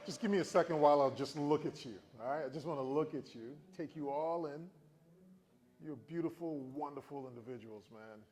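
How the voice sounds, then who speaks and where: A man from the Virgin Islands in his forties tells stories to a room of students, keeping them engaged with frequent questions. His voice sits a little high and swings with the story. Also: fairly quick, a little mellow. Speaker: motivational speaker. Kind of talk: motivational talk to students.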